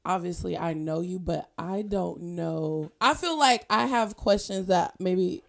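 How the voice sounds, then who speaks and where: A woman's voice: on the low side, measured pace, gravelly. A young woman hosting a podcast, chatting casually and asking curious questions with frequent giggles. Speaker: podcast host. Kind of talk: casual chat interview.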